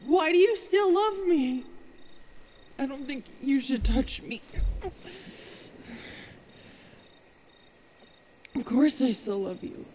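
A woman playing a huge, self-loathing young werewolf girlfriend in an ASMR roleplay, halting and emotional, sometimes dropping to a whisper. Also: high voice, darkish tone, a little hiss or hum. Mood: distraught disbelief. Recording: cricket and night ambience behind.

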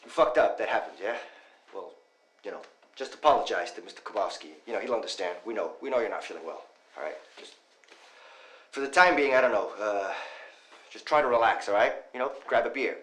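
An actor playing a blunt, excitable male best friend who swears and stammers. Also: lowish voice, a little fast, reverberant, low-quality recording. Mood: soothing. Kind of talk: indie drama acting.

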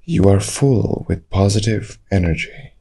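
A man leading a guided meditation, giving calm, measured instructions in short phrases with long pauses. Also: lowish voice, husky.

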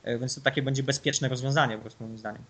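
A man with a voice of ordinary middle pitch, talking casually as a podcast guest. He lays out his views at length, circling back and restarting as he goes. His tone is relaxed and conversational. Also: fast talker, a little hiss or hum. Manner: opinionated, rambling explanations. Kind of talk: casual chat.

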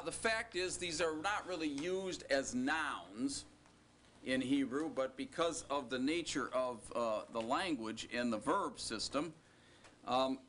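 Male teacher, slow and deliberate delivery, joking asides, bright tone, faint hiss behind. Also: a little high. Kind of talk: recorded classroom lecture.

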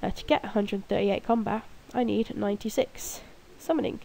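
Young woman with a stuffy nose from a cold, mellow voice, gaming vlogger who rambles and laughs at herself. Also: mid-range voice, moderate pace, gravelly. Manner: rambling, self-mocking, giggly. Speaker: gaming video creator. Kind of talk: casual gameplay commentary. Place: room at home, alone at night.

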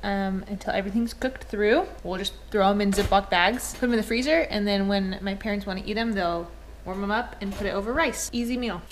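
A woman's voice: mid-range voice, fairly even intonation, a little fast, a little hiss or hum. Upbeat young woman vlogging in a car. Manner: chatty, upbeat explaining. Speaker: family vlogger. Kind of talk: casual vlog narration. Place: in a car, then a home kitchen. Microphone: handheld action camera at arm's length.

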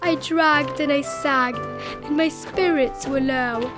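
Woman narrator, high pitch, dramatic picture book read-aloud, prominent music behind. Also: slow. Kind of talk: expressive read-aloud of rhyming storybook.

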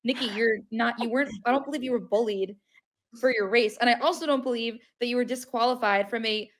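Blunt young-sounding woman, slightly high voice. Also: brisk. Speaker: panel guest. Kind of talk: casual political podcast chat.

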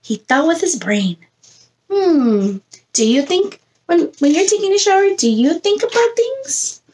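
A woman's voice: extremely high pitch, big pitch swings, measured pace, a little mellow, slight background noise. A woman in her thirties, a preschool teacher reading a picture book aloud to young children, expressively, coaxing them with questions and prompts. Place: indoor room against a plain textured wall.

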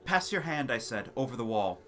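A man reading a gothic tale as a theatrical, deliberate dramatic narration. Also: mid-range voice, sing-song, sharp tone, soft background music. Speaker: narrator of a gothic short story. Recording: noisy ambience sound bed. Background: background ambience and sound effects.

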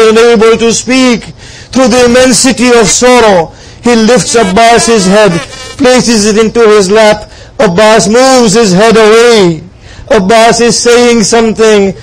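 A man's voice: very high voice, rough recording. A man preaching a mournful devotional narrative in a solemn, impassioned, dramatic way, with plaintive cries. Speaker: preacher reciting a religious lament. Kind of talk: mournful devotional sermon storytelling.

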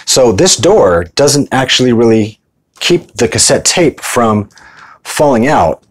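A man's voice: medium pitch, expressive, takes it slowly, a little hiss or hum. A middle-aged American man talking informally and conversationally in a casual show-and-tell vlog. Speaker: video host.

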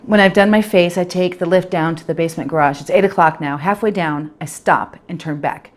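Woman performing an anxious, low-voiced young professional woman. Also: a little fast, close to an everyday voice. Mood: confessional, fretful. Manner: confessional, fretful, repetitive. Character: anxious, self-critical 28-year-old professional woman, obsessive about appearance. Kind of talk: dramatic monologue in direct address.

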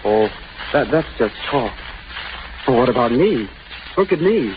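A war-weary teenage soldier in an old radio drama. His mid-pitched voice is gentle and reassuring, with hesitant phrasing. The vintage broadcast sounds muffled, with clear noise. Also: big pitch swings, a little slow. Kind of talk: 1930s radio acting.

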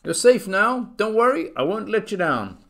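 A man voicing a gentle, protective elephant in a storybook, pleading and sincere. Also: on the high side, sing-song, normal speed, creaky, voice pushed up, heavily acted. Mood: eager concern.

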